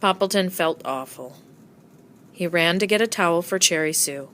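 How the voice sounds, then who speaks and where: A woman narrating a children's storybook aloud with expressive storytelling delivery. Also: on the low side, normal speed, slight background noise. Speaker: storybook reader.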